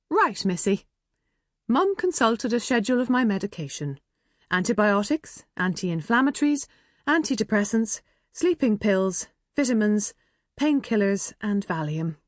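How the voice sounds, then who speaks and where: A woman's voice: mid-range voice, dry recording, studio quality. A woman with an Irish accent narrating a first-person novel in a chatty, wry way. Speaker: audiobook narrator.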